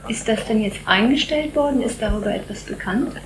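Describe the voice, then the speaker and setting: A woman's voice: fairly high pitch, brisk, background noise. A woman interviewing a guest, asking probing follow-up questions.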